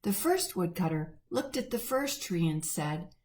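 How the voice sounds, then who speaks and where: A woman reading a picture book aloud to children, gently and expressively. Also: lowish voice, sing-song, a little slow. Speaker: church children's storyteller.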